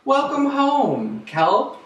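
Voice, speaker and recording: A storyteller as a friendly, high-pitched storybook unicorn. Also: huge pitch swings, very slow, a bit bright, dull audio, full character voice. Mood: warmly calling out. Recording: roomy echo, distant microphone.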